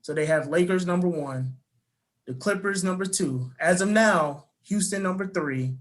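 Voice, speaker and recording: Slightly high-voiced man leading a segment. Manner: talks fast, steers the segment. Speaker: podcast host. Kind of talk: casual sports chat.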